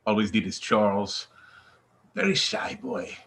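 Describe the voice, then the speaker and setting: A man's voice: fairly low pitch, very animated, slow pace, a bit bright, exaggerated voice. A man playing a proud, uncool older Haitian immigrant father with a Haitian accent in a comedy table read. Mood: proud, plain.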